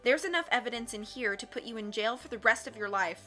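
Woman doing a high, earnest lady judge. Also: lively intonation, fast, bright, forceful tone, soft background music. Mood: defiant. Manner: pleading, earnest, gasping. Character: earnest, well-meaning older woman judge, tearful.

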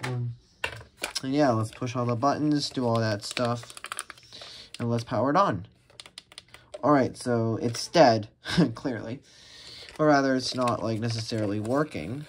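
A man's voice: medium pitch, unhurried, long pauses. A man giving a casual, hesitant, rambling unboxing commentary, often restarting his sentences. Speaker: vlogger unboxing radios. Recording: noisy home recording with handling clicks.

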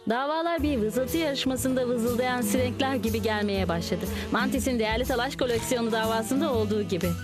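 A woman's voice: fairly high pitch, fairly quick, close to an everyday voice. A woman voicing an eager young insect who narrates like a hard-boiled detective in a dubbed cartoon, dramatic and mock-serious. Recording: music under the voice.

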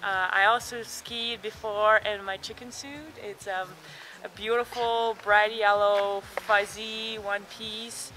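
Bright-voiced young woman outdoors. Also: medium pitch, fairly even intonation, poor audio. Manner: playful, laughing, with frequent filler pauses. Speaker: guest skier. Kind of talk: casual outdoor interview. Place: outdoors on a snowy ski trail.

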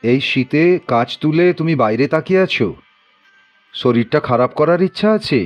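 A man's voice: medium pitch, expressive, normal speed, muffled recording, faint music behind, lightly acted. A man playing a protective, world-wise husband in an audio drama, cautioning and reflective. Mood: concerned.

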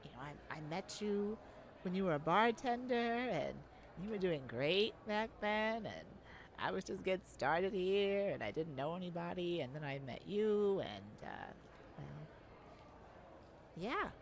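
A woman's voice: fairly low pitch, big pitch swings, measured pace, a little hiss or hum. A woman voicing an affectionate, modest young male bar singer in an intimate ASMR roleplay, sweet-talking and hesitant.

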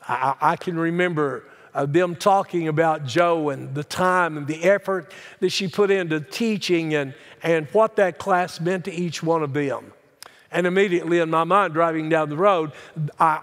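An older man preaching a sermon as a pastor, earnest and deliberate, stressing key words and posing rhetorical questions. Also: on the high side. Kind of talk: sermon, Bible teaching. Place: large church sanctuary, speaking from the pulpit. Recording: reverberant church hall sound.

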